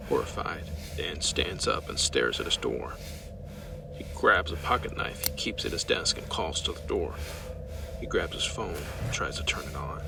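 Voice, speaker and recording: A man's voice: sits low, creaky, recorded from a distance, noisy background. A man reading a scripted horror story as its narrator.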